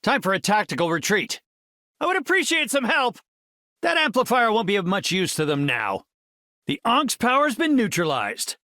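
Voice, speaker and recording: Middle-aged genius superhero, male, video game lines, courteous, formal, articulate, confident, animated intonation, dry studio sound. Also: high-pitched, moderate pace, dry recording, heavily acted. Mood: urgent, commanding. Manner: articulate, formal, erudite wording.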